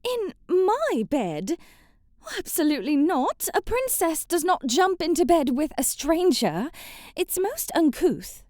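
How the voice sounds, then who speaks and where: A woman's voice: very high-pitched, very animated, slow, darkish tone, dry recording, studio quality, full character voice. A woman playing a haughty, sheltered young princess in a scripted ASMR roleplay, prim and bratty, with formal, old-fashioned wording and an easily flustered temper. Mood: flustered, indignant.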